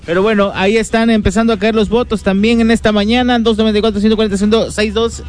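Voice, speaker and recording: A man's voice: high-pitched, level tone, fast, darkish tone, soft background music. A man hosting a morning radio show, reading listener votes and messages aloud. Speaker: radio host.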